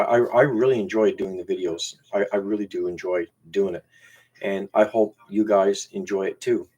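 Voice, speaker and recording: Man with a Newfoundland accent, somewhat low voice, hosting a casual live stream with stop-start delivery. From Newfoundland, Canada. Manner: halting, repeats words and restarts. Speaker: YouTube channel host. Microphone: camera used as webcam.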